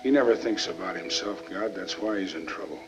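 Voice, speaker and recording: A man's voice: deep voice, a little fast, music in the background, close to an everyday voice. An actor playing an earnest townsman praying for a troubled friend in a 1940s film style. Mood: solemn, pleading. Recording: muffled old film soundtrack.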